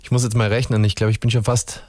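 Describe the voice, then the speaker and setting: Thoughtful Austrian man with a low voice. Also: little pitch movement, quick pace, a little mellow, dry recording, studio quality. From Upper Austria. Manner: thoughtful, rambling, many restarts and fillers. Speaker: musician guest. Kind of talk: radio interview.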